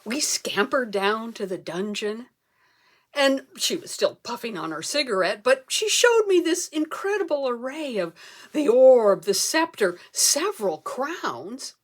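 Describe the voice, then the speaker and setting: A woman performing a comic story as a speech, theatrical, with dramatic pauses and emphasis. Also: on the high side, big pitch swings. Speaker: club speaker telling a humorous tall tale.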